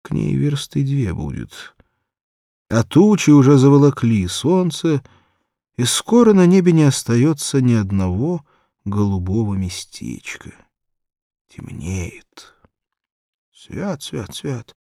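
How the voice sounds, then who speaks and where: A male audiobook narrator tells a children's literary tale at a slow, measured pace. His mid-pitched voice has a gravelly creak and rises and falls dramatically. The sound is dry and close. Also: very animated, dark tone, no room sound. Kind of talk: expressive literary storytelling for children.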